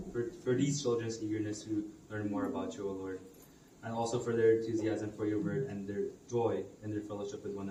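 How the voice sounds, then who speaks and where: Low-voiced man praying in an echoey hall. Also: dark tone. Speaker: church service leader. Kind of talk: announcements and spoken prayer. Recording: distant mic, echoey hall, background noise.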